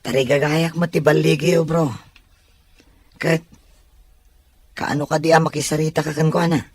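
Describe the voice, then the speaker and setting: An actor with a Filipino accent playing a loyal, courteous young best friend in an audio drama, his voice slightly husky. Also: on the high side, level tone, moderate pace, natural voice. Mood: encouraging.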